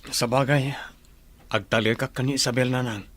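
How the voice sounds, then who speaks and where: A man plays an earnest grown son weighed down by trouble in a radio drama. His voice is medium in pitch, with an Ilocano accent. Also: fairly even intonation, moderate pace, fairly bright tone, lightly acted. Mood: troubled, earnest.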